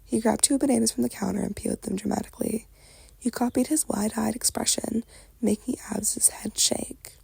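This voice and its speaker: A woman softly narrating an ASMR story in a breathy, crackly whisper. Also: a little high, fairly even intonation, normal speed, no echo.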